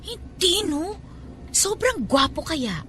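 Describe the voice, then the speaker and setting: A Filipino woman playing chatty, excitable young law-office assistants in radio-drama dialogue, animated and gossipy. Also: extremely high pitch, takes it slowly, slight background noise, exaggerated voice. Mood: gossipy, playful. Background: background music.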